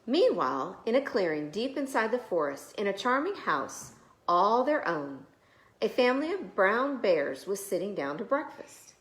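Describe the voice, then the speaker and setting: Female preschool storyteller, animated melodic delivery for children's picture books, recorded in an echoey room. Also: mid-range voice, moderate pace. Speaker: preschool teacher reading stories. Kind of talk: expressive children's storybook reading.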